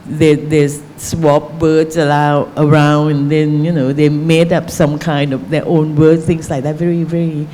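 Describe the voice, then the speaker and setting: Slow, mellow-voiced Thai woman. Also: sits low, flat delivery, slight background noise, poor audio. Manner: halting, searching for words, many fillers. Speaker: novelist on a panel. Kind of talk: panel discussion answer.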